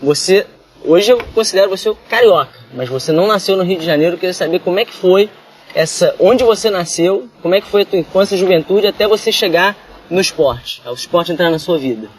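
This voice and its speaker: Fast-talking, high-voiced male interviewer. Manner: chatty, rephrases his questions. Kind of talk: casual interview questions.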